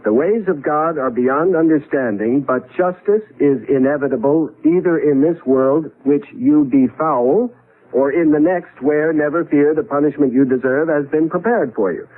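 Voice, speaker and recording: An actor playing a bewildered, wry husband in a 1970s radio drama, earnest and emphatic, sometimes halting. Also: male voice, a little high, lightly acted. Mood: declamatory. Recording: tinny, band-limited vintage radio recording.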